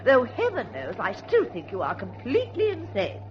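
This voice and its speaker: A woman's voice: a little high, huge pitch swings, normal speed. A woman acting a fussy, indignant, timid older aunt in a radio drama, with a haughty, exclamatory delivery. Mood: disapproving, insistent. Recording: muffled old radio recording, clear noise.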